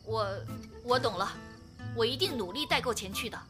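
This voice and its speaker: A woman plays a young woman in a radio drama, bold and protective of her own. Her voice sits a little high and swings widely in pitch, theatrical and expressive, with music underneath. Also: moderate pace, close to an everyday voice. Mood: scheming, teasing. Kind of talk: modern Chinese radio drama.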